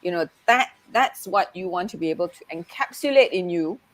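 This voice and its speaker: A woman's voice: on the low side, lively intonation, fairly quick, dry recording, rough recording. A woman who grew up in Malaysia, a coach talking in a casual, reflective way and often saying "you know". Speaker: NLP coach. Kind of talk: casual conversation.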